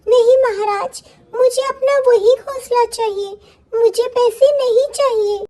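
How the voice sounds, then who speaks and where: Woman doing a sweet honest mama bird for a kids cartoon, super high voice, kinda pleading. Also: steady tone, unhurried, warm tone. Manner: polite, pleading. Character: gentle, honest poor mother bird, earnest and pleading. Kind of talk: Hindi children's moral story cartoon dialogue.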